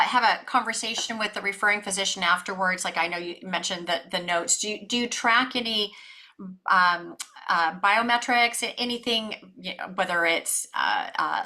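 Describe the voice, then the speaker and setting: A woman's voice: mid-range voice, sharp tone. A woman hosting an interview over a video call, asking her guest questions with curious interest. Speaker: interview host.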